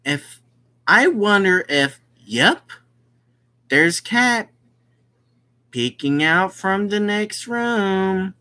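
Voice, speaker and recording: A gaming host with a bright, mid-pitched voice reads a visual novel aloud at a very slow, halting pace. The delivery is casual and rises and falls in a lively way, with asides to explain which character is speaking. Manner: halting, explains who is speaking as they read.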